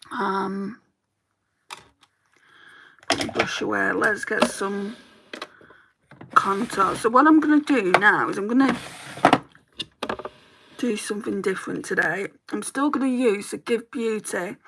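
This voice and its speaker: A woman with a northern English Lancashire accent talks her viewers through her makeup routine, replying to their comments as she goes. Her voice is bright and clear, and she takes her time, chatting at an unhurried pace. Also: medium pitch. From Lancashire, England. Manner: chatty, answers chat comments while doing makeup. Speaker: beauty streamer. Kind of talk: casual makeup chat with viewers.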